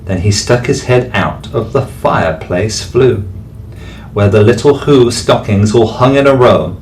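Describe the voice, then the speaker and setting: A man, a teacher, reading a rhyming bedtime story aloud with expressive delivery. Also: fairly low pitch, measured pace, a little hiss or hum. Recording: distant mic, faint background music.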